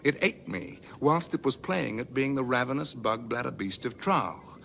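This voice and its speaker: Cocky, slangy space rogue. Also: male voice, medium pitch, expressive, moderate pace, gravelly, a bit bright, slight background noise. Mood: smug, laid-back. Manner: slangy, swaggering, impatient. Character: brash, cocky, hip space rogue and ex-president. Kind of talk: British radio comedy drama acting.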